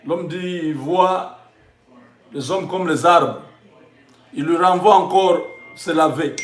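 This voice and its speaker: A man with a Congolese accent speaks in a high register at a slow, deliberate pace. He delivers an impassioned religious and patriotic address, building it from short, repeated phrases. The sound is echoey, with the room clearly audible around his voice. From Democratic Republic of the Congo.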